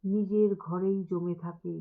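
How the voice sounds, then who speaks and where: A woman gives a dramatic poetry recitation through thin, phone-like audio. Her delivery is very slow and deliberate, with expressive pauses between phrases. A gravelly creak runs through her voice. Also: lowish voice. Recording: thin, band-limited phone-like sound.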